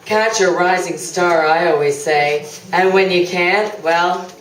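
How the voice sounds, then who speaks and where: Woman playing a slow, wry, long-suffering wife. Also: fairly low pitch. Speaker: actor in a live radio play. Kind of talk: staged talk-show guest, comic radio play. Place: live theatre, mic at a distance. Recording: echoey, distant, faintly noisy stage recording.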